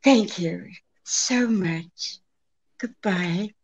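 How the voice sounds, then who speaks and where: An actress playing an anxious, pleading, genteel female client in an old-time radio drama, earnest and halting. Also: medium pitch, background noise. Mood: grateful, nervous. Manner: hesitant, halting, breathlessly earnest. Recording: dull lo-fi radio-play recording.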